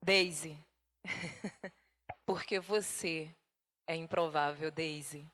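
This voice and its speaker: Slow, low-voiced woman hosting a talk show. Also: steady tone, a bit bright, no room sound. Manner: brief, affirming interjections. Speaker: TV program host.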